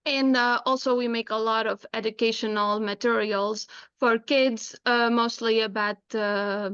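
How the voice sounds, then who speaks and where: A woman's voice: a little high, fairly even intonation, measured pace, fairly bright tone, clear, resonant tone, no room sound, muffled recording. A woman from Tunisia, giving an informal online presentation as a guest speaker. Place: indoors, online video call.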